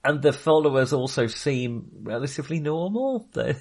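A man co-hosting a casual film discussion, speaking in a halting, self-correcting way. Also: a little high, very animated, creaky, a little mellow. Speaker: podcast co-host.

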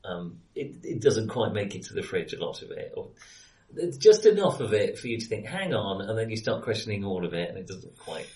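A man's voice: fairly low pitch, sing-song, brisk, darkish tone, far from the mic. A man co-hosting a casual film discussion, feeling his way through his opinions with hesitant, self-correcting speech. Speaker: podcast co-host.

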